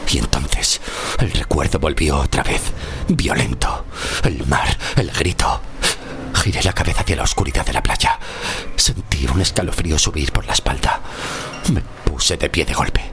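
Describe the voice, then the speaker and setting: An actor from Spain playing a frightened shipwrecked sailor of centuries past, telling his horror tale gravely and haltingly in an audio drama. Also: rumbling low, lively intonation, a bit bright, a little hiss or hum, heavily acted. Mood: grave, uneasy. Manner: grave, halting, suspenseful delivery. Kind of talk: dramatic first-person horror audio-fiction narration.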